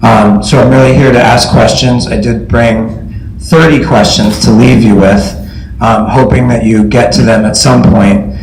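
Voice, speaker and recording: A man with a scratchy voice, a teacher and parent, speaking at a school board meeting in a measured, halting way. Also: medium pitch, fairly even intonation, darkish tone. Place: meeting room. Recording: roomy meeting hall audio, faint noise.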